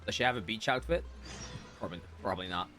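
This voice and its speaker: A man's voice: fairly low pitch, animated, quick pace, sharp tone. A man giving casual live game commentary, musing aloud and joking in a chatty way. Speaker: game streamer. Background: game music.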